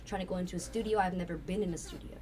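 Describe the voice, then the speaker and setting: Raspy fast-talking woman guest. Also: fairly low pitch, steady tone, darkish tone. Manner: rambling, candid, swears casually. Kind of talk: casual interview chat. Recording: distant mic with faint noise.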